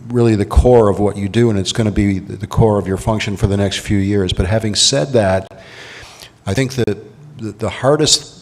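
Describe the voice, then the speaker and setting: Low-voiced man giving earnest advice. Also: a little mellow. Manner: measured, earnest. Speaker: panelist. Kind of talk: advice on a panel. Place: conference hall. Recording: roomy hall with faint noise.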